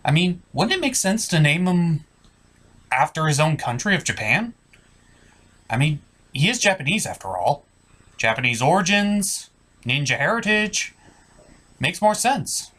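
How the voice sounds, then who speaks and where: A man's voice: on the high side, big pitch swings, a little slow, long pauses, a bit bright, exaggerated voice. A man voicing a puppet butler character in scripted comic banter, formal, exasperated and full of questions. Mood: puzzled. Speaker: sidekick in puppet review show.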